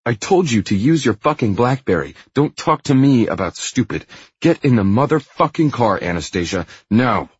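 A man's voice: deep voice, normal speed, husky, no room sound, muffled recording. A man voicing a curt, hot-tempered male tycoon in an audiobook, growling out commands. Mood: furious. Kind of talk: audiobook character dialogue.

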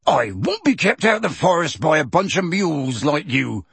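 A gruff half-giant gamekeeper, blunt and stubborn, voiced by a man in a testy, outspoken storybook reading. The voice has a slightly husky edge. The recording is close and dry. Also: on the high side, lively intonation, a little slow, a bit bright, dry recording, exaggerated voice. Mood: angry.

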